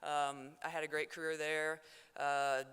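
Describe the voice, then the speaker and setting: A young woman with a mixed South Dakota and Texas accent gives a loud, fast, joking acceptance speech at a banquet. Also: deep voice, level tone, clear, resonant tone. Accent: mix of South Dakota and Texas. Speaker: hall of fame inductee giving acceptance speech. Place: banquet hall. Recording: hall reverb.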